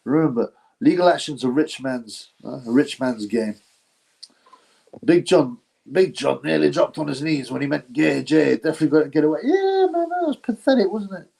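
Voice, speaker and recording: A man's voice: a little high, lively intonation, moderate pace, creaky, darkish tone, slightly rough, rough recording. A man hosting a casual live stream, rambling, reading chat and laughing often between filler words. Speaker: boxing channel host reading chat.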